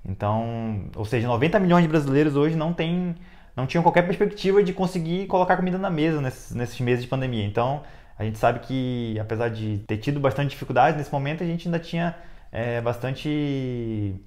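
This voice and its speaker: Mid-pitched Brazilian man explaining. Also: a little fast, darkish tone. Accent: Brazilian Portuguese. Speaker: travel vlogger. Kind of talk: casual explanation to camera. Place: indoor living room, seated on sofa.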